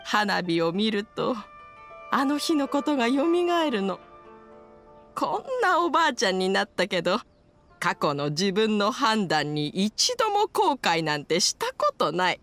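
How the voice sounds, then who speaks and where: A woman voicing a kindly, nostalgic old mother in an anime game, gentle and reminiscing. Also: medium pitch, very animated, measured pace, soft background music.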